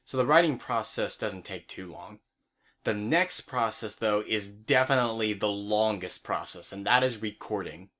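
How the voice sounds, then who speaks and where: A man's voice: fairly low pitch, lively intonation, normal speed, bright, forceful tone. A young adult man, the creator of an online series, explains his process step by step in an informal, casual update. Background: background music.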